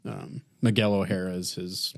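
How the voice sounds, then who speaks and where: A man's voice: sits low, steady tone, moderate pace, gravelly, no room sound, clean studio sound. A man co-hosting a podcast, chatting casually about films with the group. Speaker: podcast co-host. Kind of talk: casual film chat.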